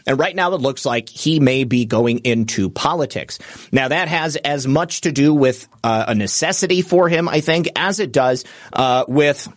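A man's voice: mid-range voice, normal speed, no room sound, clean studio sound. A man hosting a political talk show, delivering an unscripted monologue in a rambling, emphatic way with frequent fillers and restarts. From United States. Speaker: political commentary show host.

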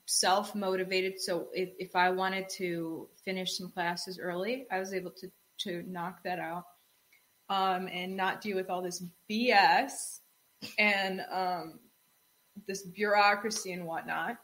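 A young woman co-hosting a casual podcast chat, telling relaxed stories and laughing often. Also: fairly low pitch, slow. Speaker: co-host.

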